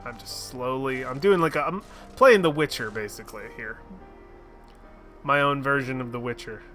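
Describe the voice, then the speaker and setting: A man's voice: medium pitch, moderate pace, sharp tone, soft background music. Goofy, giggly male streamer. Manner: goofy, laughing asides. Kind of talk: casual gameplay commentary.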